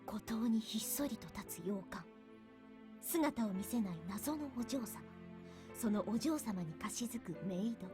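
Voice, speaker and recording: Actress as easygoing visual-novel soldier gal: high register, quick delivery, teasing, frank, over music. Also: female voice, lively intonation, close to an everyday voice. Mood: playfully dramatic. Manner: casual, teasing. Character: breezy, outspoken young female soldier, playful and easygoing. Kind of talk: visual novel anime-style voice acting. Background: game background music.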